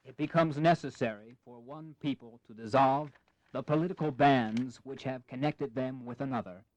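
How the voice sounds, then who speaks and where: A man's voice: medium pitch, normal speed, a little mellow, close to an everyday voice, low-quality recording. An actor playing a principled, impassioned colonial statesman in a historical audio drama, with earnest, formal oratory. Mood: solemn.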